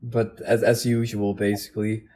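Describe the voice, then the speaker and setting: A man's voice: lowish voice, little pitch movement, moderate pace, warm tone. A man in a casual unscripted online chat, speaking hesitantly and repeating his words as he goes. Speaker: video co-host.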